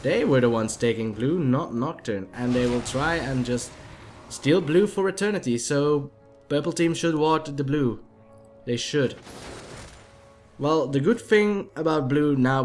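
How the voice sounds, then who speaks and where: A tipsy man with a Dutch accent casually commentating a video game match, chatty and rambling, with sudden excited outbursts. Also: medium pitch, measured pace, soft background music.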